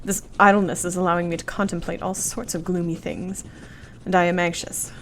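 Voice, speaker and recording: A woman's voice: lowish voice, flat delivery, fairly quick, a little hiss or hum, natural voice. A woman acting an earnest, anxious young Victorian wife in a radio play, devoted and thoughtful, with formal, precise period phrasing. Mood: anxious, regretful.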